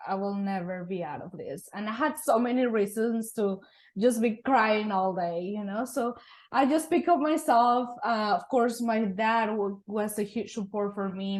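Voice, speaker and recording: Woman, earnest interview guest, average pitch. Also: a little mellow, rough recording. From Mexico. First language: Spanish. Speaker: guest, real estate investor. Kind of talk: interview storytelling.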